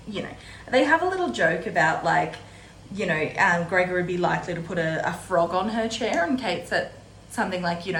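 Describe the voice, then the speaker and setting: A woman hosting a casual, chatty book readalong, retelling the story informally and paraphrasing dialogue in a conversational way. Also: on the low side. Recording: roomy echo with faint background noise.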